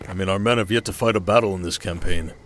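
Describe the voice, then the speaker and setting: A man playing a steady, protective desert king in an ASMR audio drama, speaking in a measured, intimate way. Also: deep voice, slight background noise. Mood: concerned, tender.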